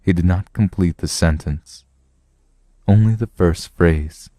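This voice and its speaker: A man narrates a science fiction story in a low, dark voice. He reads slowly and deliberately, and the sound is close and dry. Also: no echo. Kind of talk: scripted narration, audiobook reading of a sci-fi story.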